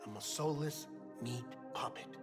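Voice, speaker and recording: A male actor as a melancholy, artificially made scientist in a cinematic game. His mid-pitched voice is measured and confessional, pausing for weight, with music clearly playing behind him. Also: natural voice. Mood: confiding, melancholy. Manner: measured, confessional, dramatic pauses. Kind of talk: cinematic video game acting.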